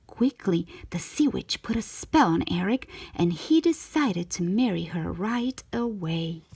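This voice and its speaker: A woman narrating a children's storybook in an expressive read-aloud style, with a breathy voice. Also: on the low side, measured pace.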